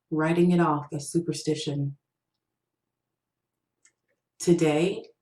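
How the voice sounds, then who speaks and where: A woman's voice: low-pitched, darkish tone, reverberant, rough recording. A woman author reading her own short story as scripted fiction narration.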